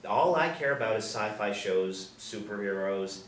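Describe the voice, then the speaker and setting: A man's voice: fairly low pitch, animated, normal speed, lightly acted. A man, apparently middle-aged, playing an awkward, apologetic nerdy man at a script reading, hesitant and stammering. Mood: sheepish. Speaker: actor at a screenplay table reading. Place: indoor room, seated. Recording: echoey room, distant mic, faint noise.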